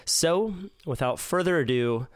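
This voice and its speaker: A man hosting a podcast interview in a casual, thoughtful way, his voice hoarse and partly lost. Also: medium pitch, lively intonation, a little slow, no room sound, studio quality. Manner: thoughtful, with frequent fillers and restarts. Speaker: podcast host.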